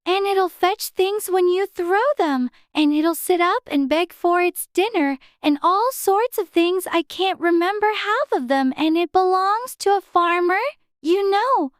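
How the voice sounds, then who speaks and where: A woman's voice: extremely high pitch, measured pace, no room sound, studio quality, pitched above the performer's own voice. A woman voicing a polite, eager little girl with an earnest, childlike manner in a dramatized audiobook. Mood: eager, chatty. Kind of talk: dramatized audiobook character dialogue.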